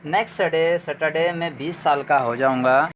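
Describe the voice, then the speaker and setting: A man's voice: on the high side, normal speed, fairly bright tone, a little hiss or hum. A man teaching English grammar in a lecture, explaining patiently, repeating points and asking rhetorical questions.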